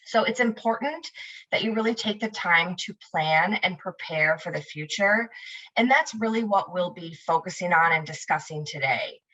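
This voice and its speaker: A woman from the United States presenting a webinar over a video call, upbeat and welcoming, speaking in clear prepared phrases. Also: on the low side, moderate pace, bright, forceful tone. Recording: dull lo-fi video call audio.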